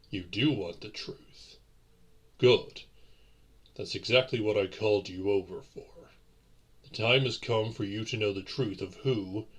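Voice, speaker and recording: A centuries-old vampire gentleman, acted by a man for a romantic audio roleplay, speaks in a very low, husky voice. He is courtly and brooding, addressing his lover slowly, with formal, old-fashioned phrasing. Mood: courtly, tender, then commanding, resolved.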